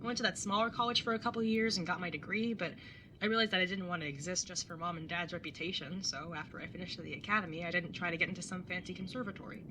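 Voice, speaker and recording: A woman plays a casual young older sister in an audio drama. Her voice is a little low yet bright, with blunt, dry, teasing delivery. Faint rumbling noise runs underneath. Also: rapid-fire, poor audio. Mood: candid. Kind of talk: naturalistic audio drama dialogue and first-person narration.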